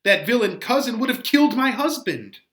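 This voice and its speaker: A man performing a distraught, passionate teenage bride in a melodramatic, drawn-out Shakespeare reading. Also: very high voice, sing-song, sharp tone, pitched above the performer's own voice, full character voice. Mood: anguished, conflicted.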